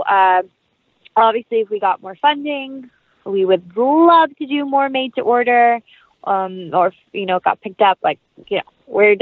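A woman chats casually as an interview guest, heard through dull, lo-fi audio with little room sound. Her pitch is middling for a woman. She rambles in a talkative, self-deprecating way. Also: no room sound. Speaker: actress, producer and interview guest. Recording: dull, lo-fi, band-limited audio.

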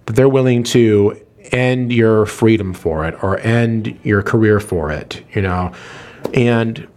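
A man's voice: on the low side, takes it slowly, darkish tone. A man speaking as a radio show host in casual conversation, effusive and emphatic, often adding "you know". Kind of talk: casual, conversational interview talk.

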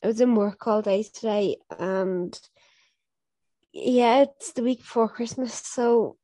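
A young woman co-hosting a casual podcast, her voice mellow and a little stuffed up from a cold. She rambles along with plenty of "like" and "um". The sound is dry and close. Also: fairly high pitch, takes it slowly, no room sound. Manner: chatty, rambling with fillers like "like" and "um". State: stuffy, blocked nose. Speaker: podcast co-host. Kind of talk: casual chat.

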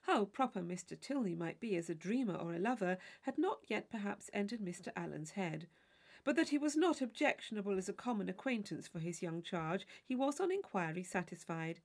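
A woman narrating a classic novel from a script, in an expressive, measured storytelling manner. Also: medium pitch, gravelly. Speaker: audiobook narrator.

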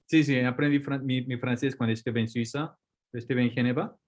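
Hombre con acento coreano, hablar vacilante. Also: male voice, medium pitch, fairly even intonation, brisk. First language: Korean. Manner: hesitant, restarts words, mixes in English. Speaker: guest surgeon. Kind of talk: casual interview.

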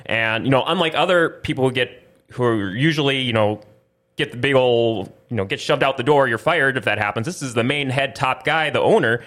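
A man's voice: mid-range voice, fairly quick, a bit bright. A man hosting a podcast, talking casually through news in a rambling way that doubles back on itself. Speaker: podcast host.

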